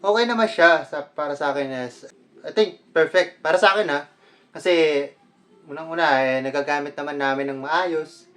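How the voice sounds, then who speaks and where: A man's voice: on the high side, normal speed, a bit bright. A man with a Filipino accent, a vlogger talking casually through a product unboxing in a conversational way, mixing Tagalog with English.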